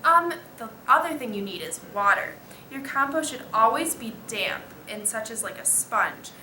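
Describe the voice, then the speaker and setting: A female student giving halting, scripted explanations as an expert guest in a mock interview. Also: on the high side, lively intonation, sharp tone. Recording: echoey room with faint noise.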